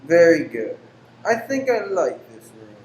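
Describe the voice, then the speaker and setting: Male actor as formal, secretive gentleman lodger, pious and weary, touchy and exacting; echoing room, faint noise. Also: a little high, very animated, unhurried. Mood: reserved, exacting. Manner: formal, exacting, abrupt then apologetic. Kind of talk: radio play acting. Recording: roomy echo with faint background noise.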